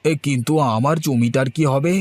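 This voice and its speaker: A man's voice: mid-range voice, moderate pace, a little mellow, slight background noise, lightly acted. A man voicing a simple, worried village farmer in a cartoon, plaintive and questioning. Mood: worried, puzzled. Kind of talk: cartoon dialogue.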